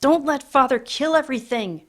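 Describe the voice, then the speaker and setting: A woman reading aloud, voicing children who wail and plead at a high pitch. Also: normal speed, a bit bright. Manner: shrill wailing. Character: children pleading, crying and furious.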